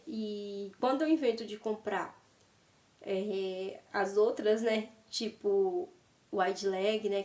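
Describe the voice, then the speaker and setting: A woman's voice: medium pitch, darkish tone. A Brazilian woman vlogging casually about fashion, a bit congested from a cold, chatty and tagging her sentences for viewers, at home by her wardrobe. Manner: chatty, tags sentences with "né?" and addresses viewers as "gente". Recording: roomy, distant from the mic.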